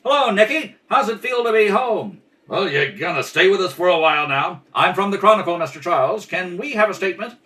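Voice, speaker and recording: Man doing an eager high-pitched newspaper reporter. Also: lively intonation, a little fast, hardly any pauses, pushed and bright. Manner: eager, pressing. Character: pushy, eager male newspaper reporters mobbing a celebrity. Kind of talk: old-time radio play acting. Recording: home kitchen, distant mic.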